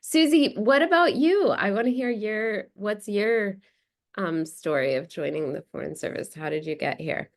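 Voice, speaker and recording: A woman podcast guest talking casually in a lively way, with big swings in pitch. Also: moderate pace.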